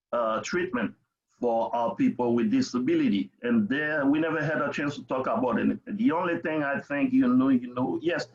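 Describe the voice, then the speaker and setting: An older man with a Haitian accent gives conversational testimony over a dull, lo-fi video call. His pitch is middling for a man. He drives points home with emphatic repetition and frequent little check-ins. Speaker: parent advocate, interview guest. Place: remote webinar call.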